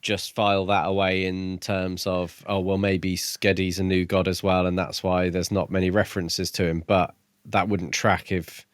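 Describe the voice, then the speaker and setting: Low-voiced man in casual discussion. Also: flat delivery, normal speed, clean tone, no echo, studio quality. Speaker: podcast co-host. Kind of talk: casual book discussion chat.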